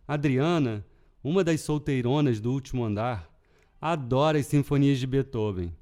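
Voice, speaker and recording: Measured Carioca man narrating a podcast. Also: fairly high pitch, a little mellow. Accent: Rio de Janeiro Portuguese with European Portuguese touches. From Brazil. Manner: measured, deliberate reading. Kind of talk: scripted literary podcast narration.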